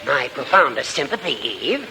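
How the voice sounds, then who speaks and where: A man's voice: medium pitch, sing-song, normal speed, vocal fry, bright, forceful tone, exaggerated voice. An actor playing a hearty, joking male party guest in a 1940s radio comedy. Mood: hearty, teasing. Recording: very noisy old radio recording.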